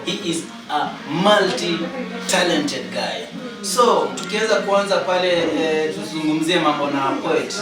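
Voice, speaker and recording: A man's voice: a little high, measured pace. A Kenyan man with a Kenyan accent, hosting a casual interview in a chatty, teasing way that repeats phrases. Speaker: host. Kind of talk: casual interview mixing Swahili and English. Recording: echoey room from far off with music behind.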